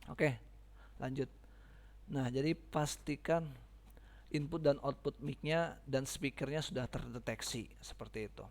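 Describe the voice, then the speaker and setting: Man, creaky voice, medium pitch, informal step-by-step tutorial, instructor addressing listeners. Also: unhurried. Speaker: teacher giving a software tutorial. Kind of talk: casual step-by-step screen tutorial.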